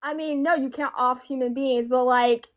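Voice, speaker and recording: An eighteen-year-old woman calling in with a babyish voice that sits high. She argues hesitantly, stumbling over her words and falling back on fillers. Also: fairly even intonation, moderate pace. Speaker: caller.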